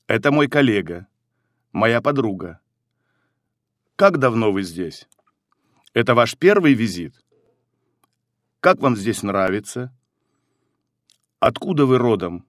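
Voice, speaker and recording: A man reading phrases for a language course, one by one with pauses, in a careful, clearly enunciated delivery. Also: medium pitch, dry recording, clean studio sound. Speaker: phrasebook reader.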